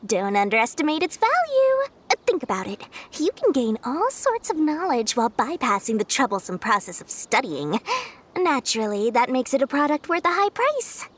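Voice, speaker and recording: Sly, greedy young merchant. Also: female voice, high voice, wildly swooping pitch, normal speed. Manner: sly, bubbly, salesy lecturing with giggles. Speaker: game character. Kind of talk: video game voice acting.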